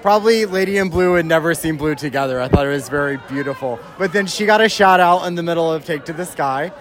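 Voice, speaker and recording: Teasing high-voiced man fan. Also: quick pace, some chatter behind. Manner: teasing, dry. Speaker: concert fan. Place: outdoors outside a theater.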